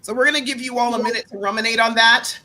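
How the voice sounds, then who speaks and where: A woman hosting a panel discussion, lively and emphatic, with a playful streak. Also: a little high, quick pace. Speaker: host.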